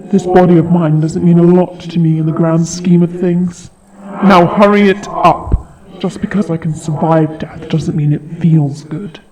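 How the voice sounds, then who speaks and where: Man voicing a high-pitched, haughty, sardonic dragon. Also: fairly even intonation, a little slow, crowd noise, natural voice, low-quality recording. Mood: dryly impatient. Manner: sarcastic, taunting, theatrical delivery. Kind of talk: audio roleplay acting. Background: fantasy game-style music.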